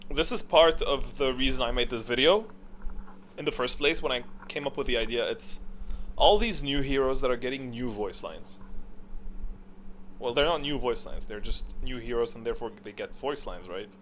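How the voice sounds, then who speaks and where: A man's voice: medium pitch, moderate pace, a bit bright, slight background noise. A man giving casual, unscripted, opinionated commentary as a video creator, thinking aloud and often answering his own questions. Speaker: video creator ranking game characters.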